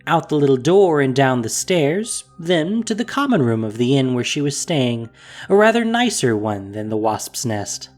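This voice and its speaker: A man narrates a fantasy tale in a scripted storytelling style. His mid-range voice rises and falls in a lively way. Soft music runs beneath the narration. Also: mid-range voice, moderate pace. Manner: expressive storytelling.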